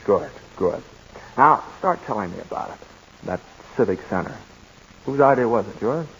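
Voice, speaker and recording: A man's voice: on the low side, lively intonation, dull audio, slight background noise. An actor playing an eager, persuasive man who coaxes and bosses people along, in 1940s radio drama style. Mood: coaxing. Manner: brisk, coaxing, salesman-like. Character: fast-talking scheming man, eager and persuasive.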